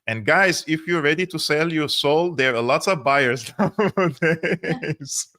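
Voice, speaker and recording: A man's voice: on the high side, slow pace, bright, forceful tone. A man from Syria hosting a political livestream, talking earnestly and often repeating words as he searches for phrasing. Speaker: political commentary host.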